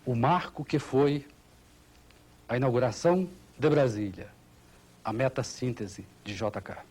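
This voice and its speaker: A Brazilian man delivering a formal documentary recollection, solemn and rhetorical, in short measured phrases. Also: medium pitch, lively intonation, a little mellow. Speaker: engineering manager giving testimony.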